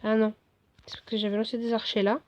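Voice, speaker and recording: Hoarse woman commenting gameplay. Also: fairly high pitch, quick pace, lo-fi sound, slight background noise. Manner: rambling, relaxed, many fillers and restarts. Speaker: gaming video host.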